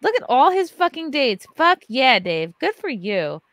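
A woman's voice: sits high, big pitch swings, measured pace, fairly bright tone, dry recording. Woman, 30s, podcast host, casual livestream banter, swears a lot, dry close studio mic. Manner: loose, joking, swears freely. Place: home studio with neon lights. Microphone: large studio mic on arm.

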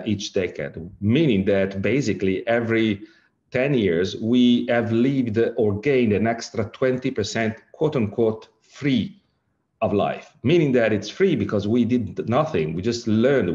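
A middle-aged man from Italy with an Italian accent, giving an online lecture with slides, halting and full of fillers and rephrasings. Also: lowish voice, a little mellow, lo-fi sound. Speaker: lecturer, director of an ageing innovation centre.

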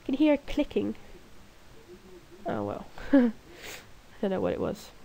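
A woman's voice: medium pitch, wildly swooping pitch, a little slow, gravelly, warm tone. A young woman with a cold and a blocked nose, rambling and mocking herself as she talks over her gameplay alone in her room at night. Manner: rambling, self-mocking, giggly. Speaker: gaming video creator. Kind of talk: casual gameplay commentary.

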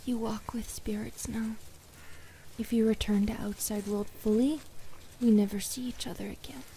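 A woman plays a feral forest spirit girlfriend, high and mellow. She is loving and possessive, speaking in slow, broken English that often slips into whispers. It is an ASMR roleplay with clear ambient noise around her. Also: steady tone, lightly acted. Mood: gently possessive.

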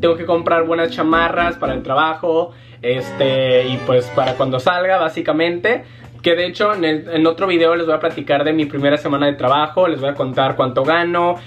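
A young Mexican man with a somewhat high voice vlogs from a small bedroom. He talks in a lively, slangy way and gestures a lot. Faint guitar music plays underneath. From Mexico, Jalisco. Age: young adult. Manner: animated, lots of hand gestures, slangy, puts on quick voices for quoted people. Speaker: vlogger. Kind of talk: casual chat to camera. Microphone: fixed camera at desk.

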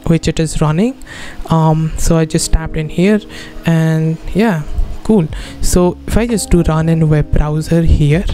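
A man's voice: fairly high pitch, mellow. A man in his twenties explaining steps in a casual coding tutorial, informally checking in with the listener as he goes. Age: 20s. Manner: informal, often tagging statements with "right?". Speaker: tutorial host. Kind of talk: casual spoken screen-recorded coding tutorial. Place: indoor room at a computer.

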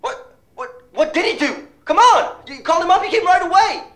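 An actor playing a blunt, excitable male best friend who swears and stammers. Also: mid-range voice, a little fast, reverberant, natural voice. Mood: exasperated. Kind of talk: indie drama acting.

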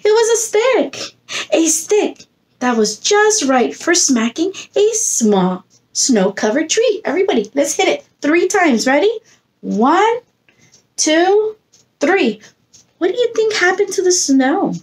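A woman's voice: extremely high pitch, sing-song, slow pace. A woman in her thirties, a preschool teacher reading a picture book aloud to young children, expressively, coaxing them with questions and prompts. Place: indoor room against a plain textured wall.

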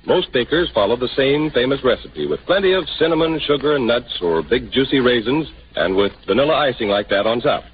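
An American man announcing and interviewing in the style of a 1930s radio sponsor pitch. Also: lively intonation, a little fast, few pauses, noisy background. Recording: tinny band-limited 1930s radio broadcast, music.

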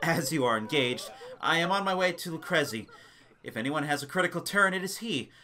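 A man voicing a sly, vengeful nobleman who coaxes with flattery and feigned concern in a story reading. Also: fairly high pitch, a little fast, crowd noise, voice pushed up, lightly acted. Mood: sly coaxing. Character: sly, falsely friendly vengeful nobleman, coaxing his victim.

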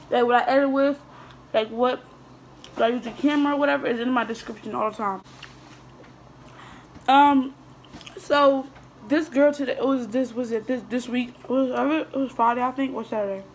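A teenage girl talks through a mukbang vlog, her voice a little high, her speech rambling and full of restarts. She chats between bites into a phone camera on the table in front of her. A faint hiss sits under her voice. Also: rough recording. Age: teens. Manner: rambling, chatty, with frequent restarts and fillers; talks while eating, mouth sometimes full. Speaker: vlogger. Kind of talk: casual mukbang chat answering viewer questions. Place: small indoor room at a table.

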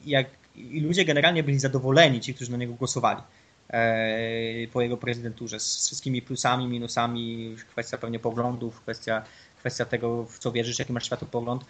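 A man's voice: mid-range voice, little pitch movement, fairly quick, a bit bright. A man chatting as a guest on a podcast, giving long, rambling, opinionated explanations. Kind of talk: casual chat.

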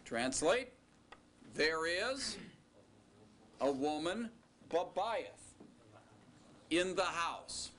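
Slow, bright-toned male teacher. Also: a little high, animated, a little hiss or hum. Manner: slow, deliberate, with joking asides. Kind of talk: recorded classroom lecture.

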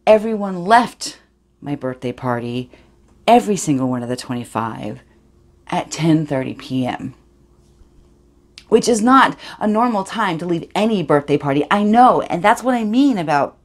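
A woman's voice: fairly low pitch, very animated, measured pace, pitched above the performer's own voice. A woman performing a dramatic monologue as a privileged, awkward eighteen-year-old girl, sly and defensive, rambling confessionally. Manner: rambling, confessional, self-justifying.

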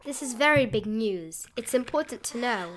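Lady with highish voice reading kids rhyming book out loud, sing-song, bit of noise behind her. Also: lively intonation, normal speed. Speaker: storybook reader. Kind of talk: read-aloud of a rhyming children's picture book.

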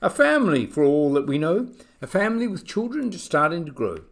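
Man as gentle male elephant, storybook animal, protective, sincere, pleading, highly animated pitch. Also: brisk, vocal fry, heavily acted. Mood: earnest pleading.

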